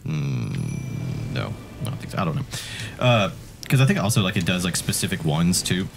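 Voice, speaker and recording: A man's voice: low voice, huge pitch swings, moderate pace, vocal fry, noisy background. A middle-aged man co-hosting a gaming show with casual, joking, sweary commentary, often in a mock ASMR style. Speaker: gaming show co-host and coach. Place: indoor streaming room.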